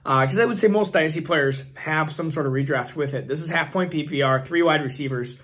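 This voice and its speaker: A young man with a hoarse, fading voice hosts his channel, rambling and thinking aloud with many fillers as he talks through his picks. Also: fairly high pitch, fairly quick, fairly bright tone. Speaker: fantasy football channel host. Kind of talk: casual screen-share commentary.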